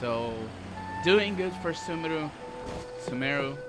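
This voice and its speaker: Slow-talking man commentating over game music. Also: fairly high pitch, animated. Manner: repetitive, playful. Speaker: video game streamer. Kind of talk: casual let's-play commentary.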